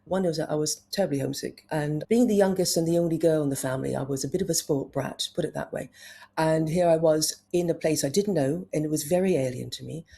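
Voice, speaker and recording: Woman travel writer, fifties; low, warm voice; lively anecdotes. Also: fast talker, creaky, slightly rough. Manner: enthusiastic, anecdotal storytelling. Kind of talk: conversational interview.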